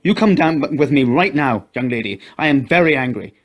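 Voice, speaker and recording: A man's voice: huge pitch swings, fast, husky, heavily acted. A man voicing a frantic, terrified mother who shrieks and sobs as she scolds and screams for her baby. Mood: angry scolding. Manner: shrill, screaming, sobbing.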